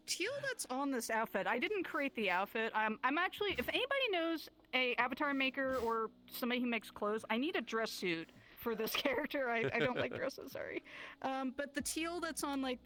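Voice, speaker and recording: A woman with a slightly high voice chats on a casual live stream. She giggles often and talks with eager enthusiasm. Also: lively intonation, a little fast, fairly bright tone, rough recording. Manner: giggly, enthusiastic about animals.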